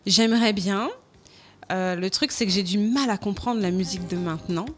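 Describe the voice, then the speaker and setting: A woman singer talking casually in a radio interview, animated and quick to laugh. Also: medium pitch, faint music behind.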